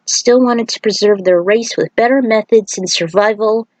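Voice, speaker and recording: A woman's voice: mid-range voice, normal speed, a little mellow, dry recording, poor audio. A woman reading a fan fiction story aloud as an amateur narrator.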